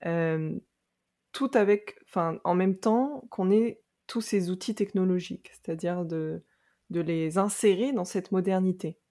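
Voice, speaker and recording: A woman's voice: on the low side, unhurried. A woman hosting a podcast interview, talking conversationally with frequent hesitations and easy laughter. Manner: warm, laughs easily, hesitant restarts. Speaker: podcast host.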